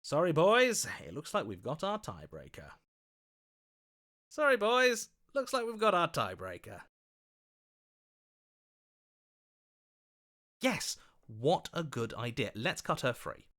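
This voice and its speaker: A man voicing a scheming, flattering opportunist in a video game, with an oily, ingratiating and theatrical delivery. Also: fairly high pitch, measured pace, full character voice. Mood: smug, eager.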